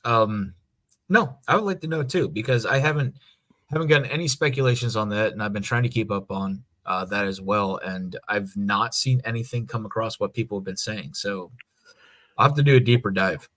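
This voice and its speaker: A man's voice: lowish voice, brisk, a bit bright. A man co-hosting a podcast, chatting casually and informally. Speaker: podcast co-host. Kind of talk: casual chat.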